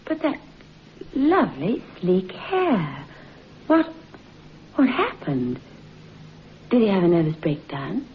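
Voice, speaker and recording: A woman's voice: medium pitch, wildly swooping pitch, slow pace, pauses often, a crowd in the background, full character voice. An actress playing a gushing, curious English society woman with effusive old radio drama diction. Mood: shocked. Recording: dull, faintly noisy old radio recording.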